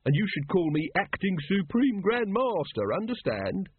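A man puts on a pompous male cult leader in a comic storybook. He is haughty and exasperated, with a raised, very animated pitch. The recording sounds narrow-band. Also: normal speed, a little hiss or hum. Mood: haughty, peeved.

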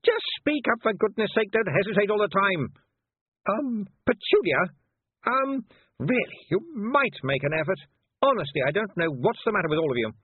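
A man's voice: high voice, very animated, moderate pace, husky, no room sound, pitched above the performer's own voice, exaggerated voice. A man voicing a bossy, haughty, impatient teenage girl witch in a storybook reading, scolding and condescending. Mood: exasperated scolding. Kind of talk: audiobook character voice. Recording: muffled narrow-band phone-like sound.

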